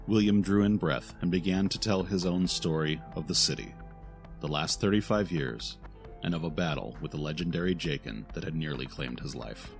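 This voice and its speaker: A man narrating a scripted fantasy audio drama in a solemn, deliberate way. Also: low voice, gravelly. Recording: faint music behind the voice.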